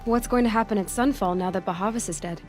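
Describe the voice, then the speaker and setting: An actress playing a plainspoken, sceptical young huntress in a video game, speaking directly and guardedly. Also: female voice, mid-range voice, quick pace, over music, close to an everyday voice. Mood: guarded, curious. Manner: direct, questioning. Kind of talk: video game cutscene acting.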